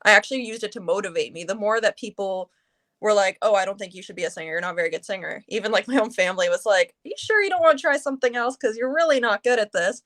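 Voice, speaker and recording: A woman's voice: medium pitch, quick pace, a bit bright. A woman in her early twenties, a singer from Canada, talking casually as an interview guest, chatty with many fillers. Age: 20s.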